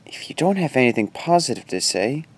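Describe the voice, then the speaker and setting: Guy playing a patronizing man in charge at an asylum, measured but very up and down, radio drama. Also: medium pitch, big pitch swings, breathy edge. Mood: reproving. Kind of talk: audio drama acting.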